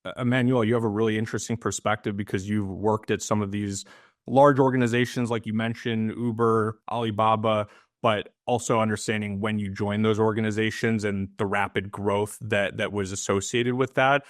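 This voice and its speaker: Low, flat-voiced man hosting a webinar. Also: normal speed, dry recording, clean studio sound. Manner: earnest, filler-heavy with restarts. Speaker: webinar host. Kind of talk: moderating a panel webinar.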